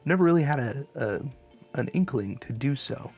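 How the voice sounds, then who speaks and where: A man's voice: medium pitch, expressive, normal speed, vocal fry, a little mellow, soft background music. A man hosting a hobby channel, giving a casual, informal voice-over tutorial in a laid-back manner. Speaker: host of a miniature hobby channel.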